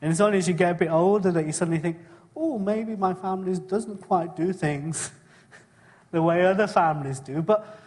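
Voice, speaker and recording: A middle-aged man from England gives a sermon, speaking in a slightly raised voice. He talks in a conversational way, often turning to his listeners with tag questions and clearing his throat. His voice carries a long reverb from a church hall. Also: high voice, moderate pace, darkish tone. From born in Kenya, raised in England. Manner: conversational, asks the congregation frequent tag questions. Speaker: preacher. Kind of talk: sermon with personal testimony.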